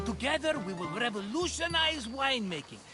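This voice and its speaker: A man's voice: very high-pitched, big pitch swings, a little fast. A man playing an effusive, wheedling middle-aged merchant schemer in a video game cutscene, with theatrical delivery. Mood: grandiose. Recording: music behind the voice.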